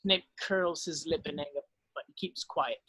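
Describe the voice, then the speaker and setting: A man's voice: fairly high pitch, fairly even intonation, a little slow, sharp tone, slightly rough. A man narrating stage directions for a script table read.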